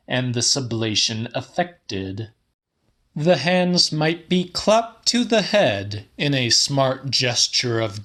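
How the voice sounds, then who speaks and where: A man narrating a literary audiobook in a measured, deliberate and slightly dramatic style. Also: medium pitch, a bit bright.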